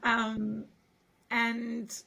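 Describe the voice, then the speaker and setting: Female interview host, husky and losing her voice, casual chatty delivery. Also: on the high side, completely flat delivery, very slow, poor audio. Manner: chatty, warm filler-heavy talk with many restarts. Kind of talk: casual conversation.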